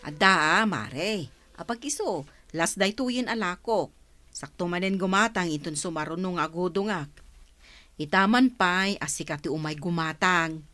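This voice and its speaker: A woman's voice: on the low side, normal speed, bright, forceful tone. A woman playing a chatty, nosy village food vendor in a radio drama, folksy and gossipy, with an Ilocano accent. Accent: Ilocano Filipino. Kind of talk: Filipino radio drama acting.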